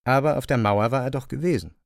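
A man narrating a literary radio play from a script in a measured storytelling manner. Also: medium pitch, creaky, dry recording, studio quality. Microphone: close studio microphone.

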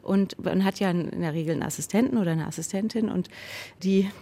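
A woman's voice: medium pitch, fast, mellow. A woman giving a considered, somewhat hesitant interview statement about making radio drama.

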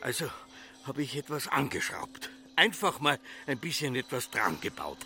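A man's voice: medium pitch, very animated, moderate pace, sharp tone, heavily acted. A middle-aged actor with an Austrian accent playing an obsessed, embittered farmer father in a literary radio drama, brooding and incantatory in manner. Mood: rapt musing.

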